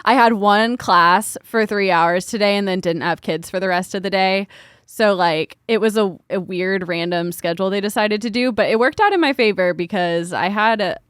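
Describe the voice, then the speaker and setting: A woman's voice: medium pitch, moderate pace, a bit bright, clean tone, dry recording. A young woman co-hosting a podcast in casual chat, her voice a little worn and tired. She talks easily, laughs often and trails off into fillers. The mic is close and dry. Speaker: podcast co-host.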